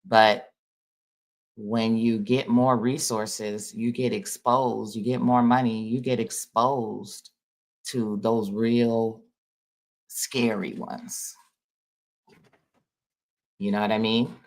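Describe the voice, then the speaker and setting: A man's voice: on the low side, flat delivery, slow, gravelly, long pauses. A man giving a loose, rambling spiritual talk to his viewers in an informal, folksy way. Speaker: spiritual vlogger. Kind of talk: casual spiritual monologue.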